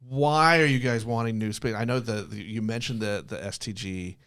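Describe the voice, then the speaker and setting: A man's voice: on the low side, moderate pace, fairly bright tone, smooth. A man interviewing someone in a casual, encouraging and informal way, as a host.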